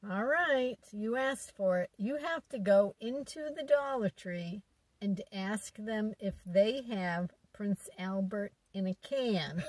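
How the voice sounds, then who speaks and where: Chatty woman vlogger, slow unhurried talk. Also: mid-range voice, expressive, dry recording, low-quality recording. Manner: chatty and enthusiastic, talking to viewers. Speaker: shopping vlogger. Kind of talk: casual shop-with-me narration.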